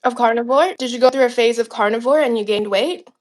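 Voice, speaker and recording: A young woman from China hosts a livestream with a high-pitched voice. She chats casually over a video call, putting short, conversational questions to her guest. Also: quick pace. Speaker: livestream host. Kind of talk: casual interview chat.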